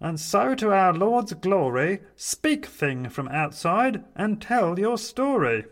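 A man voicing a big toy henchman who speaks solemnly in slow rhyming chant. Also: high voice, very animated, fairly bright tone, pitched above the performer's own voice, exaggerated voice.

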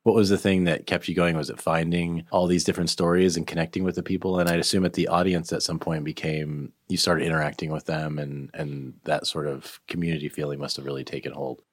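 A man's voice: very deep voice, little pitch movement, brisk, hardly any pauses, no room sound, studio quality. A man hosting an interview, musing aloud in a casual, rambling way with many fillers. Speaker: interviewer, host. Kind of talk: conversational interview.

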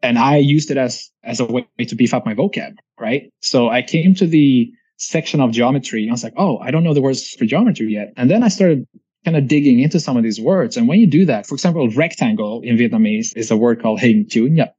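A man's voice: medium pitch, a little fast, mellow, slightly rough. Swedish-accented man, dull lo-fi audio. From Sweden. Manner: reflective, chatty, frequent restarts and "right?" tags. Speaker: guest. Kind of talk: casual interview conversation.

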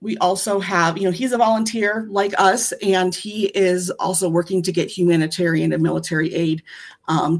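A woman speaks as a guest fundraiser giving an update on a podcast. Her voice has a lot of vocal fry. She is earnest and conversational. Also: fairly low pitch. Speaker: guest charity fundraiser and volunteer.

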